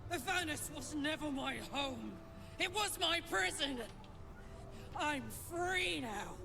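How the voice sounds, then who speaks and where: An actress playing a fierce, defiant warrior woman in a fantasy game, with a gravelly voice. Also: high voice, expressive, slow, full character voice. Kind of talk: dramatic video game acting. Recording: noisy battle ambience behind.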